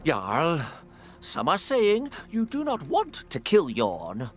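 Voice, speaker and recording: Bright, swooping, indignant warrior. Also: male voice, a little high, wildly swooping pitch, takes it slowly, slight background noise, exaggerated voice. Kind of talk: fantasy game dialogue.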